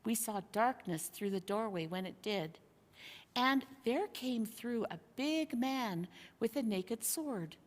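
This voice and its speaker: A woman reading a storybook aloud in an expressive, measured storytelling manner. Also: a little high. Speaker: reader. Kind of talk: storybook narration, read aloud. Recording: long hall-like reverb.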